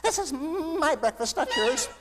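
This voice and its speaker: A man's voice: extremely high pitch, animated, measured pace, in a large hall, background noise, pitched above the performer's own voice. A man doing a squealing, huffy rabbit. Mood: indignant. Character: indignant squealing male rabbit. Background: guitar music.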